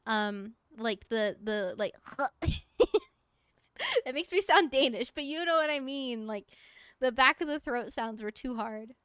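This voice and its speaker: A woman's voice: fairly high pitch, unhurried, fairly bright tone, clean tone, dry recording, clean studio sound. A young woman streaming a game from home, chatting casually in a rambling, giggly way and talking to objects in the game. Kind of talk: casual chat while gaming.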